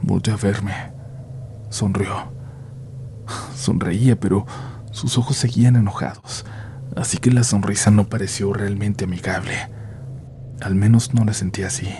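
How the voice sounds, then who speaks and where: A man narrating a first-person horror story in a hushed, measured way, sometimes dropping to a near-whisper. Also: on the low side, steady tone, noisy background.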